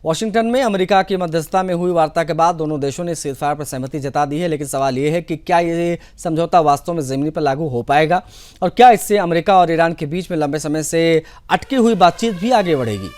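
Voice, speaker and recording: A man's voice: on the high side, a little fast, darkish tone. A man presenting television news with commentary, in the role of anchor.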